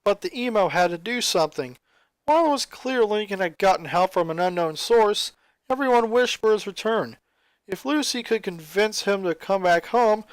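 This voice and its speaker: High-pitched man narrating a story. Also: smooth, dry recording, low-quality recording. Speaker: fan fiction reader. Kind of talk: dramatic scripted story narration.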